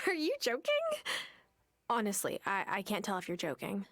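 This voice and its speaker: A woman's voice: mid-range voice, big pitch swings, normal speed, fairly bright tone, dry recording, clean studio sound. An actress playing an upbeat, sociable young woman farmer in a video game. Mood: amused disbelief.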